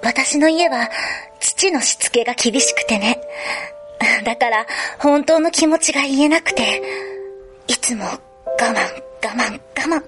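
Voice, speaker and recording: A woman's voice: sits high, a little slow. A woman playing a troubled, polite schoolgirl in a radio drama, confessing emotionally in ladylike speech. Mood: pained confession. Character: troubled, polite schoolgirl fearing her violent second self. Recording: music behind the voice.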